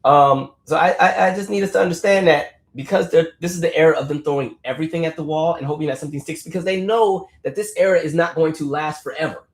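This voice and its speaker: Fast-talking emphatic man in roomy echo. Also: on the high side. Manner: animated, emphatic, repeats words and restarts while riffing. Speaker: political commentary host. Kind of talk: casual livestream commentary.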